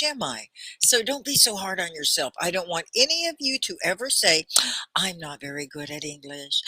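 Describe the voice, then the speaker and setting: A woman's voice: sits low, sharp tone, no echo, low-quality recording. An American woman hosting a live English class, cheerfully greeting viewers by name and laughing often. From United States. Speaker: English teacher and livestream host. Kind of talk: livestream class chat. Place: home studio near Arkansas–Oklahoma border.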